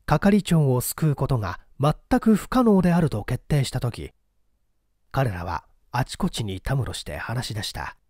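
A man's voice: mid-range voice, sing-song, normal speed, a little mellow, no room sound, clean studio sound. A man narrating a literary story as a dramatic scripted audiobook reading. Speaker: audiobook narrator, stage actor.

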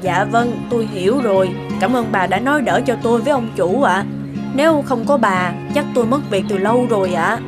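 A woman's voice: on the high side, a little fast, natural voice. A woman voicing a timid, clumsy housemaid and mother in a cartoon, deferential and pleading. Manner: deferential, plaintive begging. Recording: music under the voice.

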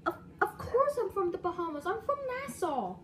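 A woman voicing an excitable male toy boat visitor who talks in a shrill, indignant, whiny way. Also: moderate pace, a little hiss or hum. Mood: huffy.

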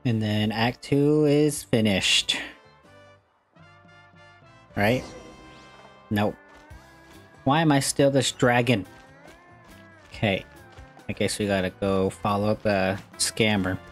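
A man's voice: lowish voice, takes it slowly, long pauses. A young man giving casual, playful, sarcastic commentary while playing a video game. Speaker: gaming streamer. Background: game music.

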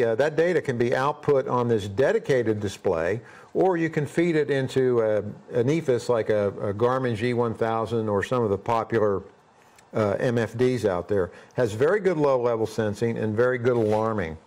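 A man in his sixties presenting a scripted product review in a workshop, brisk and plain-spoken with dry humor, on a lapel mic. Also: mid-range voice, darkish tone. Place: indoor workshop studio.

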